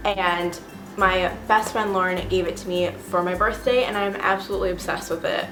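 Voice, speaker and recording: A young woman with a stuffy, sniffly cold, chatting casually and gushing about her monthly favorites at home. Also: medium pitch. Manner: chatty and gushing, with many fillers. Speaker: beauty vlogger. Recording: roomy echo with music behind.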